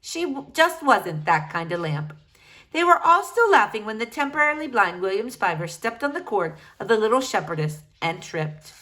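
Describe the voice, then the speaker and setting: A woman narrating a children's book aloud in an expressive storytelling style. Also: mid-range voice, moderate pace.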